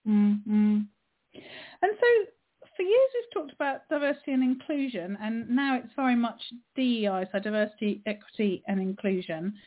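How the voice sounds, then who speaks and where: A woman hosting a radio show, introducing and interviewing a guest in a measured way. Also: fairly high pitch, lively intonation. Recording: tinny phone line.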